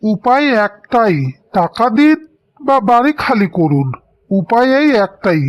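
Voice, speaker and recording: A man's voice: very high voice, very animated, measured pace, exaggerated voice. A man voicing a smug, menacing debt collector in a cartoon, mock-polite and threatening. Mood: smug menace. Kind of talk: cartoon voice acting.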